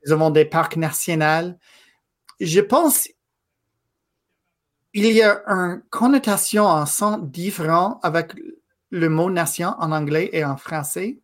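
Thirties man, english native, guest on a podcast, thinks out loud, halting, restarts a lot. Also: fairly high pitch. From Quebec. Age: 30s. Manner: thoughtful, halting, with frequent pauses and restarts. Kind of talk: casual interview conversation.

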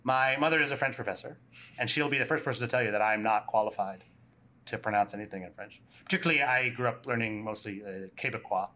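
Bright-voiced podcast host, curious. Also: male voice, on the low side, fast talker. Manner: friendly, curious questioning. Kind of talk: interview. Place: conference venue.

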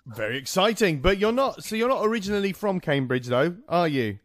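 A man's voice: sits high, very animated, brisk, dry recording, studio quality. A man hosting a podcast, upbeat and jokey as he introduces the show and interviews a guest. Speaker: podcast host.

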